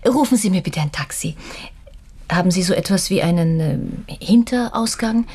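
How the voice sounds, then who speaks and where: A woman's voice: on the low side, normal speed, mellow, breathy edge. An actress playing a cautious, polite woman turned amateur sleuth in a radio crime drama, probing with questions. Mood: cautious, curious.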